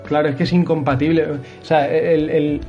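A man's voice: on the high side, flat delivery, moderate pace, lo-fi sound, soft background music. A young man from hosting a casual video game chat, rambling with restarts and swearing. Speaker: podcast host.

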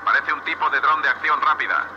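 A man's voice: fairly high pitch, steady tone, quick pace. A man with a Castilian Spanish accent playing a blunt, foul-mouthed space salvage boss in a sci-fi audio drama, heard over a radio link. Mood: awed, excited. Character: gruff, sweary space salvage boss, awed by alien tech. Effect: radio comms filter. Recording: muffled, noisy space-suit radio link.

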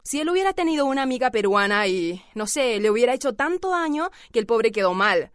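A woman from Peru with a Peruvian accent, recounting a work experience in an interview and acting out the dialogue with lively storytelling. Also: a little high, brisk, no room sound, studio quality. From Peru, Lima. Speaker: service worker telling of a customer. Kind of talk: interview testimony.

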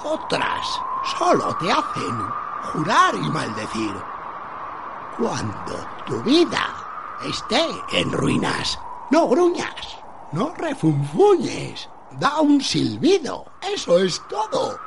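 A man's voice: high voice, wildly swooping pitch, takes it slowly, vocal fry. A man giving a theatrical spoken rendering of comic lyrics, with exaggerated dramatic delivery. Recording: very noisy, loud ambience.

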